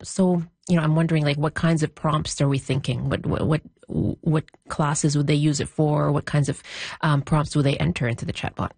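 A woman with a very low voice presses her guests with stacked follow-up questions. Her voice sounds close and dry, with no room echo. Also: steady tone, normal speed, creaky, darkish tone. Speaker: interviewer. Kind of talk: radio interview questions.

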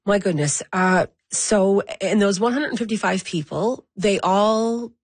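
A woman's voice: mid-range voice, unhurried, breathy edge, dry recording. A woman hosting an interview, chatting casually and leaning on fillers as she asks questions. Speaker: interview host. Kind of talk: conversational interview.

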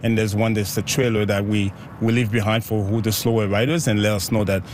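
A man's voice: on the low side, steady tone, fairly quick, noisy background. A man from Haiti who organizes group bike rides, talking in a casual, upbeat interview outdoors and laughing often.

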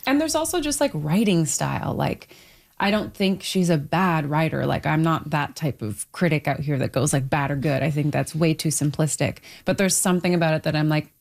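A woman with a low-set voice shares her views in a casual discussion. She is candid and reflective, leaning on key words for emphasis as she thinks aloud. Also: animated, brisk, vocal fry, darkish tone. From Toronto, Canada. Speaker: book club member on a discussion panel.

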